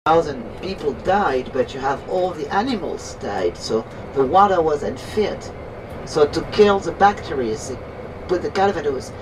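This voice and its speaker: A woman's voice: sits low, lively intonation, normal speed. A woman from Normandy with a French accent, giving a chatty guided tour and stopping to explain terms. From Normandy, France. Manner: chatty, explains terms, asks listeners to check they follow. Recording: distant voice with noisy vehicle background.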